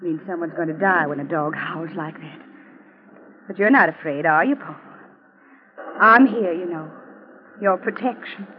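An actress playing a scheming, vengeful young wife who taunts her husband slowly and menacingly, in 1940s radio acting style. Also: on the low side, a crowd in the background, lightly acted. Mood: taunting. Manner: slow, mocking, menacing delivery. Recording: muffled old radio recording.

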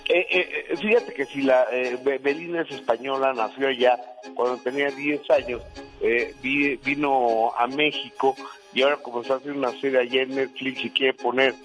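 A man's voice: mid-range voice, steady tone, moderate pace, fairly bright tone, faint music behind, rough recording. A man giving entertainment news on a radio show, talking casually and hesitantly with many fillers.

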